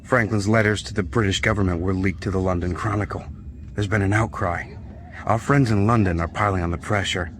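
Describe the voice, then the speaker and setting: An actor playing a principled American revolutionary prisoner, a leader among the men, in a period radio drama. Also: male voice, fairly low pitch, fairly even intonation, brisk, a bit bright, slight background noise, close to an everyday voice. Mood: wry, knowing.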